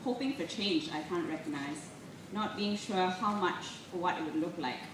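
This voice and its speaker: A woman gives an expressive, deliberate live reading of literary prose and poetry. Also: lowish voice. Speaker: reader at a literary festival. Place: live event room, recorded from a distance. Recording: roomy echo, distant mic, clearly noisy.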